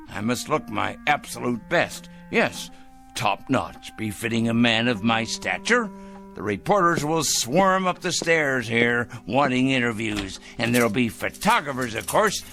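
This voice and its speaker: Smug paranoid crank, bright voice, slow and swooping, faint music under it. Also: male voice, mid-range voice, vocal fry, full character voice. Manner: theatrical, gloating, talks to his pet bird. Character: paranoid middle-aged man, smug, hateful zealot. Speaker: fanatical letter-writing crank. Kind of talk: 1960s TV drama acting.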